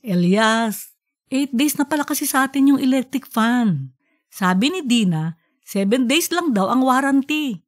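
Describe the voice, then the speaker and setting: A woman's voice: sits high, lively intonation, measured pace. A woman voicing a practical, opinionated wife and mother, chatty and matter-of-fact, in an audiobook story. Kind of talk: audiobook character dialogue.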